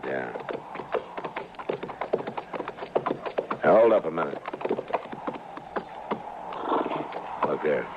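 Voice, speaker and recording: An actor playing a steady, stern frontier marshal in an old radio western, terse and dry with quiet authority. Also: male voice, extremely low pitch, fast. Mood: wry, steady. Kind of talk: 1950s radio western acting and narration. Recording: old radio recording, narrow band, loud noise.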